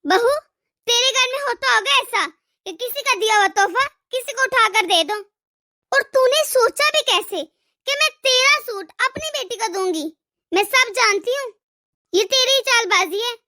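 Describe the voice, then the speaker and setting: Woman doing a very squeaky, nagging cartoon mother-in-law. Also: normal speed, sharp tone. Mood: accusing. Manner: nagging, sarcastic. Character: scolding, demanding mother-in-law, fiercely partial to her daughter. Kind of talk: animated family drama acting.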